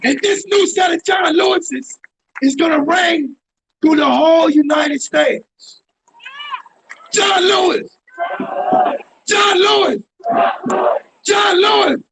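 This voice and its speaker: A man shouts fervent chants to a street protest, his voice very high and strained with a gravelly edge. A loud crowd roars around him on a noisy outdoor recording. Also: slow, pauses often. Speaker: protest rally speaker. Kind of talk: shouted call-and-response chanting.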